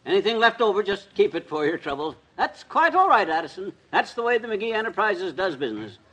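A boastful American husband who fancies himself a tycoon. His high voice leaps up and down with a bright, pushy edge. It is folksy, blustery old-time radio comedy. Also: very animated, a little fast, husky, exaggerated voice. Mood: pompous. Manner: folksy, stammering when flustered. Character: boastful, blustering, scheming small-time husband, self-styled businessman. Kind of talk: 1950s radio comedy acting.

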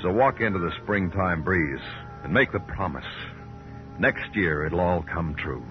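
An actor with an American accent playing a terse, brooding New York police detective in a 1950s radio noir, clipped and hard-boiled. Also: male voice, low-pitched, lively intonation, measured pace, loud music behind the voice. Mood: wistful, brooding. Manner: clipped, hard-boiled, moody poetic narration. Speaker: lead actor and narrator. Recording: dull old radio recording.

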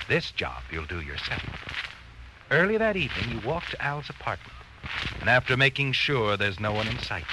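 A man's voice: medium pitch, background noise, close to an everyday voice. An actor playing a sardonic narrator in an old-time radio crime drama, needling a guilty man in a theatrical, insinuating way. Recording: old radio recording with music behind.

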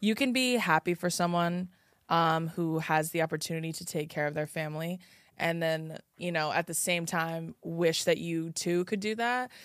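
Young woman host, lowish voice, sassy slangy banter, dry close mic. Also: normal speed, dry recording. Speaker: podcast host. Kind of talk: casual podcast chat.

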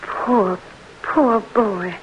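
A woman's voice: medium pitch, extremely slow, muffled recording, slight background noise. A tender mother. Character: tender, motherly plantation lady. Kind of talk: 1940s radio acting.

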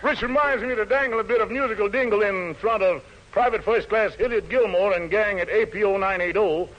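High-pitched American 1940s radio emcee, a man. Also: a little fast. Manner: showy, playful, rhyming hepcat patter. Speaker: radio show host, master of ceremonies. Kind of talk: 1940s jive-talking radio emceeing for troops. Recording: dull vintage 1940s radio recording.

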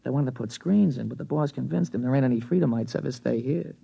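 An actor playing a sly young hotel bellhop with a husky voice and slangy, furtive talk, in 1950s radio drama style. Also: male voice, medium pitch, fast, dark tone. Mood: sly, chummy. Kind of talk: 1950s science fiction radio acting. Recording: dull vintage radio recording.

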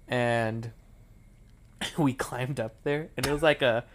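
A young man co-hosting a podcast, speaking quietly and laid-back as he tells stories. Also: fairly even intonation, slow pace, slight background noise. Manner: quiet, low-energy storytelling. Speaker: podcast co-host. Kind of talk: casual podcast chat.

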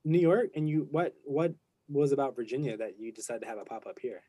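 A man's voice: medium pitch, lively intonation, a little fast, mellow, dry recording. A man co-hosting a podcast interview, easygoing and chatty. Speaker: podcast co-host. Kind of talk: casual interview chat.

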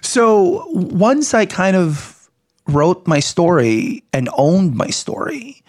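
A man in his forties, from the Philippines, talking earnestly and reflectively as an interview guest, often checking in with "right?" and soft laughs. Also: high voice, big pitch swings, takes it slowly, no room sound, clean studio sound.

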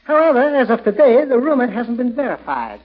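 A comic, wisecracking furniture dealer in an old radio show. His voice is extremely high for a man and full of lively swings. It sounds dull and band-limited, like a vintage broadcast. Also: brisk. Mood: sardonic. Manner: wry, hucksterish, comic. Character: wisecracking, fast-dealing male furniture salesman. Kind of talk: classic radio situation comedy acting.

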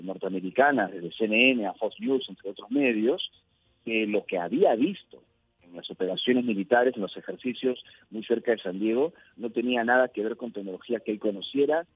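A man from Peru, answering as a radio interview guest in measured, emphatic phrases. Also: lowish voice, husky, no echo. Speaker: interview guest, UFO researcher. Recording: thin phone-like line.

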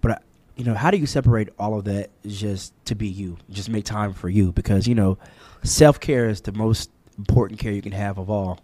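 A man hosting a casual podcast interview in a studio, relaxed and slangy, reading a sponsor plug now and then. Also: fairly low pitch, fairly quick, dry recording. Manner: laid-back, loose slang, reads sponsor spots. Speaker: podcast host. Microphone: studio mic.

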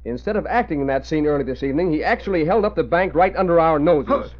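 An actor playing a polite, slightly hesitant movie director in 1940s radio drama style. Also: male voice, on the high side, expressive, fast talker, lightly acted. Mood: explaining. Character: polite, hesitant male motion picture director. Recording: dull old 1940s radio recording.

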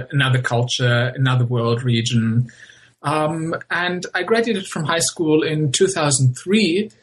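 German man speaking slowly with many ums. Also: medium pitch, fairly bright tone, slight background noise, low-quality recording. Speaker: guest author. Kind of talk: interview.

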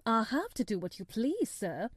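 A woman's voice: fairly high pitch, big pitch swings, unhurried, a little mellow, no room sound, clean studio sound. Woman as a humble housemaid. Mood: anxious, deferential. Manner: deferential, dialect-speaking. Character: humble, anxious young Yorkshire housemaid.

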